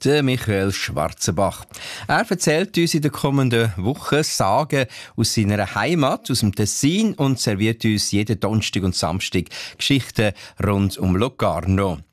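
A male radio presenter, announcing in a lively way. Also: fairly low pitch, a little slow, dry recording, clean studio sound.

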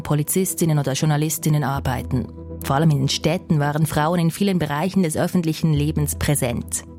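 A woman hosting a news podcast, reading a scripted narration in a calm, measured way. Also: sits low. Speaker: podcast host.

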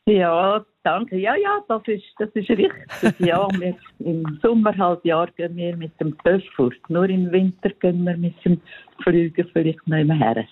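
A woman's voice: on the low side, lively intonation, moderate pace, slightly rough. A woman in her seventies from the Zurich area, speaking Zurich Swiss German in friendly, short answers as a quiz caller. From Switzerland, Canton Zurich. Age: 70s. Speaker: quiz contestant on phone. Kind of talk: casual phone chat. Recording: tinny phone line.

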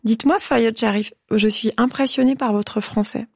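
A woman's voice: on the high side, fairly even intonation, normal speed, creaky, a little mellow, dry recording, studio quality. A woman hosting a radio interview who encourages her guest and asks him open questions. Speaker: radio host.

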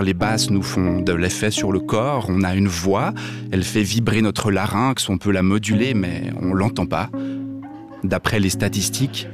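A man's voice: fairly low pitch, lightly acted. A man playing a deaf man who dislikes reading novels, speaking a blunt scripted radio monologue over music. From Switzerland. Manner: blunt, mildly provocative, direct address. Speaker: radio columnist.

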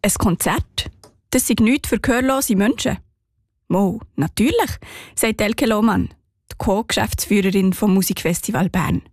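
A woman's voice: mid-range voice, moderate pace, darkish tone, no echo, studio quality. A woman with a Swiss German accent narrating a scripted radio report.

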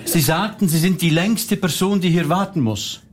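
A man's voice: high-pitched, moderate pace. Man, dry, hesitant, rambling, noisy recording. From Switzerland.

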